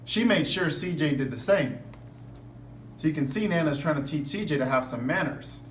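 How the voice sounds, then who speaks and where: A man's voice: fairly high pitch, expressive, moderate pace. A man reading a children's picture book aloud in an instructive way, stopping for asides and questions to his listeners. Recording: echoey room, distant mic, background noise.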